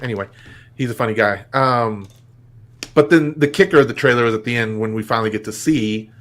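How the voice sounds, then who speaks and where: A man's voice: mid-range voice, brisk. A man hosting a live web show, chatting casually with frequent restarts. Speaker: host. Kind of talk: casual chat.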